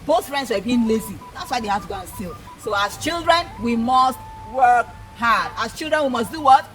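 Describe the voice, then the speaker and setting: A woman's voice: fairly high pitch, animated, normal speed, faint music behind, rough recording. A woman with a Nigerian accent who tells folktales to children as an educator, repeating points and asking questions in a teacherly way.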